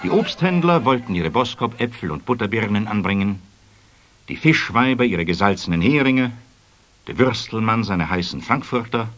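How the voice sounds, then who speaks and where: A man narrating a children's story in an expressive, scripted storytelling manner. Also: on the low side.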